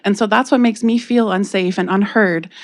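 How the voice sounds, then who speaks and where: A woman with a Canadian accent speaking softly and hesitantly into a podium microphone, giving public comment in a council chamber. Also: mid-range voice, steady tone, moderate pace. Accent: Canadian English. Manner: soft-spoken, hesitant, earnest. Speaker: public delegate.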